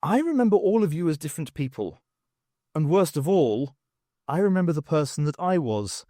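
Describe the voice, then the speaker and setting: An intense, troubled heroine, read by a male narrator in an audiobook. The delivery is earnest and dramatic, slightly high and full of pitch movement. The recording is dry. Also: big pitch swings, moderate pace, warm tone, no echo. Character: troubled, intense woman adventurer questioning her afterlife.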